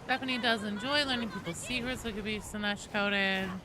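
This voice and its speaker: A woman's voice: medium pitch, a little fast. Woman streamer chatting over game noise. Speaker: live streamer hosting gameplay. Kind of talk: casual chat with viewers while gaming.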